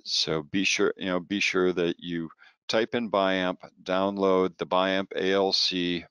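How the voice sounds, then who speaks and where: A man presenting software training as a product trainer, informal and halting, often repeating words as he explains. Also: low voice, flat delivery, smooth, no echo.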